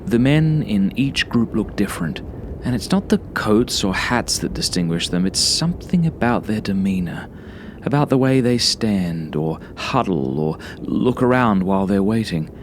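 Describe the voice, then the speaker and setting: Man, low creaky voice, brooding horror audiobook narration, halting phrases, clear background noise. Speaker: author reading own horror story. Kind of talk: first-person horror audiobook narration, journal-like.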